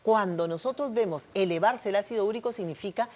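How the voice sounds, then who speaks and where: A woman, an obstetrician guest on a TV health show, explaining medical care in a clear, methodical way and putting terms into plain words. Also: mid-range voice, fairly quick, darkish tone. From Peru.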